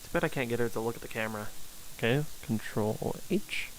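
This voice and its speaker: A man's voice: mid-range voice, a little fast, a little hiss or hum, low-quality recording. A man livestreaming a game with casual commentary, muttering to himself and reading choices aloud. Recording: game music faintly behind.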